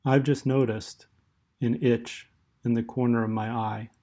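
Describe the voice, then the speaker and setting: A man with a medium-pitched voice leads a guided meditation. His delivery is very slow and measured, with long pauses between short phrases. The tone is dark, relaxed and soothing throughout.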